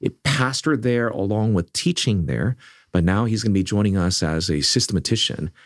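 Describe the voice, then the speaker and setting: A middle-aged man born in South Korea, leading a seminary, speaking in an earnest, measured way with frequent restarts. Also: lowish voice, lively intonation, dry recording, clean studio sound. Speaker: seminary leader. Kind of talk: conversational interview.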